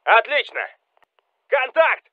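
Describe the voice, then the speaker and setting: An actor playing a Russian special forces soldier calling out commanding military game lines over a radio. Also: male voice, very high-pitched, big pitch swings, slow, no echo, phone-quality sound, heavily acted. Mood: urgent shouting. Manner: urgent, commanding.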